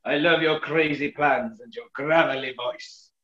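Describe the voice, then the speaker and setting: A woman's voice: deep voice, a little slow, fairly bright tone. A woman playing a gangster's adoring, scornful moll with gushing melodrama in a radio play. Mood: fawning, sly. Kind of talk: 1940s-style radio play acting. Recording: roomy, muffled online audio.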